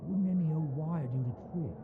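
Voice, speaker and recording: An actor voicing an exasperated old-school crook who grumbles. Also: male voice, fairly high pitch, normal speed, phone-quality sound, heavy background noise, close to an everyday voice. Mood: grumbling.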